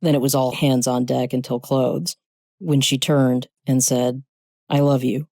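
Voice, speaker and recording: Mellow storyteller in a dry recording. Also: medium pitch, flat delivery, unhurried, no echo. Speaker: story narrator. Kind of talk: scripted short-story narration.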